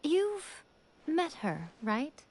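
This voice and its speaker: A woman voicing a self-assured, teasing fox shrine maiden in an anime game, knowing and gently condescending. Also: sits high, big pitch swings, drawn-out words, a little hiss or hum, exaggerated voice. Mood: composed, knowing. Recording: game music behind the voice.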